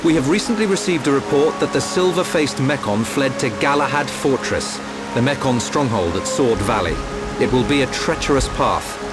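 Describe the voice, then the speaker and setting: A proud prince played by a male actor speaks in a stately, formal way with a mid-pitched voice. Loud music plays under this game cutscene dialogue. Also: lively intonation, normal speed, close to an everyday voice. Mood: proud, resolute. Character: noble, proud prince commanding allied forces. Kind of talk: video game cutscene acting.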